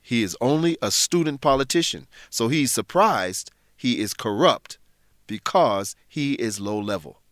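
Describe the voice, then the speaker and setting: A man narrating a scripted English lesson in a deliberate, instructive way. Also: mid-range voice, lively intonation, fairly bright tone, dry recording, studio quality.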